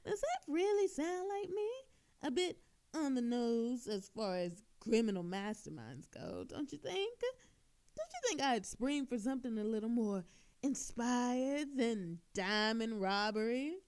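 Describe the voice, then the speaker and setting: A cocky, teasing female thief, acted by a woman in an audio drama, with a high voice that swoops widely. She drawls slowly and theatrically, flirting with smug relish. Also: very animated, darkish tone. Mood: mock innocent.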